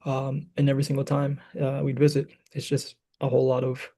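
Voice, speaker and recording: A man's voice: medium pitch, completely flat delivery, brisk, warm tone. A young man from Michigan, a graduate student, chatting casually as a podcast guest, hesitant, with many fillers. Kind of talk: casual chat.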